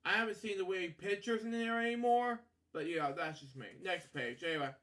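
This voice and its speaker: A man's voice: high-pitched, a bit bright. A man reading a children's story aloud as host, stumbling and repeating himself, with rambling asides and habitual catchphrases. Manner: halting, stumbling over words, repeating himself; frequent filler catchphrases like "okay" and "you know".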